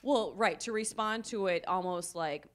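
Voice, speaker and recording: A woman interviewing a guest on a podcast, asking measured questions. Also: medium pitch, crowd noise.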